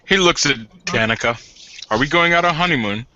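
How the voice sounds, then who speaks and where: A man's voice: fairly high pitch, animated, a little fast, sharp tone, dull audio. A man casually roleplaying as a player in a tabletop game session.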